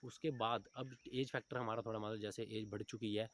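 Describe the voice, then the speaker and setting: A man's voice: fairly low pitch, level tone, a little fast. A man of about thirty, giving informal motivational advice to students, often adding check-in tags as he goes. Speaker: exam coaching teacher giving advice to recruitment candidates.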